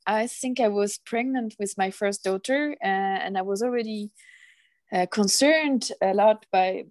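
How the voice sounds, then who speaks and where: A woman's voice: medium pitch, darkish tone, dry recording. Woman, dry sound. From France. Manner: thoughtful, pausing to find words. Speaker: guest, zero waste association founder. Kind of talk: podcast interview.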